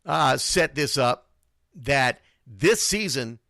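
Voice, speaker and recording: A man's voice: medium pitch, animated, slow pace, creaky, bright, forceful tone, no room sound, studio quality. A man hosting an informal youth sports radio show, talking bluntly and emphatically and repeating phrases for stress. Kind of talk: informal sports talk monologue.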